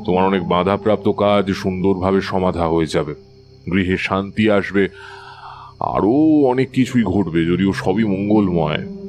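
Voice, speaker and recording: An actor playing a wise older Tantric priest in an audio drama, calm, measured and authoritative. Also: male voice, sits low. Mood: calm, reassuring. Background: faint background music.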